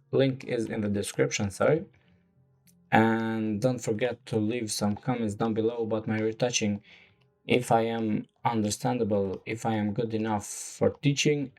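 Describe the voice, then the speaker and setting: Low-voiced non-native man teaching software. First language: not English. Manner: slow, halting explanation with many fillers. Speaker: photo retouching tutor. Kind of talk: screen-recorded software tutorial.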